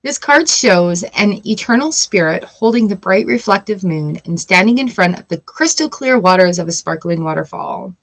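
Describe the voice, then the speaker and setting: A woman with a voice of ordinary pitch talks through a tarot reading in a loose, casual way. She reads the card text aloud and then drifts into chatty, playful asides. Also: lively intonation, moderate pace, vocal fry, few pauses, rough recording. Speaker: tarot reader.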